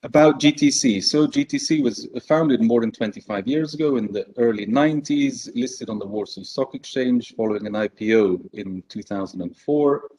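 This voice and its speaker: Measured man presenting at a conference. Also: mid-range voice, mellow, slightly rough, low-quality recording. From Belgium. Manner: measured, hesitant with many fillers. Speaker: conference speaker. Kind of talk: business presentation.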